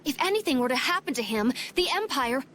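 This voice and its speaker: Indignant, bright-voiced loyal knight. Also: female voice, sits high, expressive, fast talker, a little hiss or hum. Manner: formal, urgent, protesting. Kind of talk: English video game voice acting.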